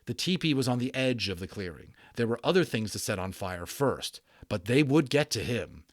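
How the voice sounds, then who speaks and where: Guy reading a kids adventure book out loud, theatrical, medium pitch, really dry recording. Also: sing-song, moderate pace, dry recording. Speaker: audiobook narrator. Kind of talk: dramatic storybook narration with casual asides.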